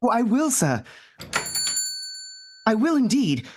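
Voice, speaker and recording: A man's voice: very high voice, big pitch swings, slow pace, noisy background, heavily acted. A man playing a meek, kindly, put-upon clerk in an old-time radio drama, deferential and hesitant. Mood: timid, eager. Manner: deferential, hesitant, eager to please.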